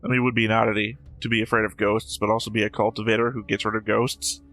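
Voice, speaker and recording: A man's voice: lowish voice, little pitch movement, fast, fairly bright tone, soft background music. A man hosting a casual reaction show, breaking down scenes with wry, blunt commentary.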